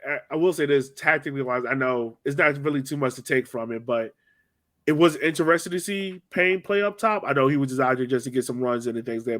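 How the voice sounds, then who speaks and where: A man's voice: medium pitch, a bit bright. A man in casual sports podcast chat, rambling along with lots of "like" and "you know". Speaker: soccer podcast host.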